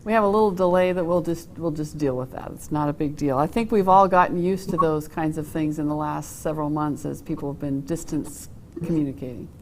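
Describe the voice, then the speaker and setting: A woman's voice: deep voice, brisk, a little mellow, slight background noise. A woman hosting an interview, asking questions in an easygoing, conversational way. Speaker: host.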